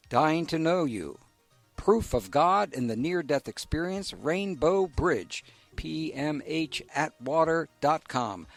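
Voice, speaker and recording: An affable, reassuring male radio host talks in a conversational way with his guest. His voice is gravelly, with a lively, swinging pitch. The sound is dry and close. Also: dry recording. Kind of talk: radio interview.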